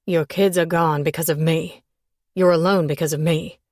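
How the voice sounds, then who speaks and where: A harsh, bitter man, as a female narrator puts him on, low and gritted. His lines come clipped and cold, close to the mic in a dry space. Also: steady tone, normal speed, dry recording.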